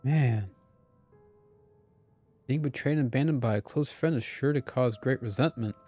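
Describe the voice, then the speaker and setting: A man's voice: mid-range voice, moderate pace, mellow. A man giving casual, musing live commentary as he streams a game. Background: game music.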